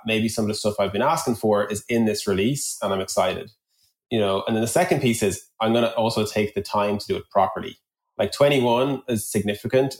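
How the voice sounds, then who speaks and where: Low-voiced man in a roomy space. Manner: long-winded, thinking aloud with examples. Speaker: podcast guest, product executive. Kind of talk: conversational podcast discussion. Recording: roomy echo on the voice.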